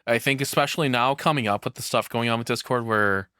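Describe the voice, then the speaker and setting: A man's voice: mid-range voice, bright, forceful tone, smooth, no room sound. Casual, rambling male streamer, dry sound. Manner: halting, rambling, sweary. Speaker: reaction streamer. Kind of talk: casual reaction commentary.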